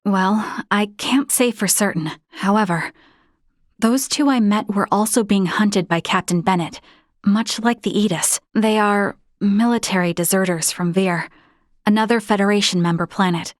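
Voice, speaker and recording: A woman's voice: medium pitch, moderate pace, no room sound, clean studio sound, lightly acted. A woman voicing an earnest, principled young female first officer of a starship in a video game, with formal, precise diction. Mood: earnest, resolute.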